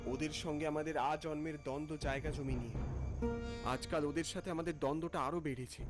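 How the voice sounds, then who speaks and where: A man's voice: fairly high pitch, fairly even intonation, fairly quick, loud music behind the voice, lightly acted. A man playing a frightened man who narrates his own ghostly horror story in a dramatic manner. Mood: tense, narrating. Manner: dramatic, stammering when afraid. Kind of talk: scripted first-person horror storytelling.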